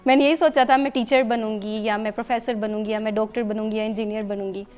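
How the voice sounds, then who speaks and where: Smooth-voiced woman, native Saraiki speaker. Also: on the high side, steady tone, fairly quick, darkish tone, faint music behind. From Pakistan. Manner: earnest, explanatory, hesitant searching for words. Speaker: TV news anchor guest. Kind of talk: studio talk show conversation. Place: TV studio.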